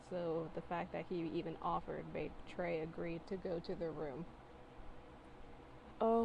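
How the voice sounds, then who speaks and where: A woman's voice: lowish voice, gravelly, noisy background. A woman doing a casual dramatic reading of fan fiction, giggly and incredulous, breaking off for mocking asides.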